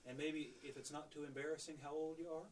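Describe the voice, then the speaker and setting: A man's voice: fairly high pitch, fairly even intonation, fast talker, a crowd in the background. A man interviewing a gospel group, a little hesitant and repeating himself. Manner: hesitant, repeats his words. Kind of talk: casual field interview. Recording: distant mic, noisy room.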